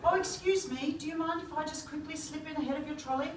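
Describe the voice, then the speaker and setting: A woman's voice: high-pitched, quick pace, natural voice. A woman playing a breezy, pushy, gushing shopper in a live stage comedy. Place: theatre stage, live performance. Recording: echoey, distant, noisy theatre recording.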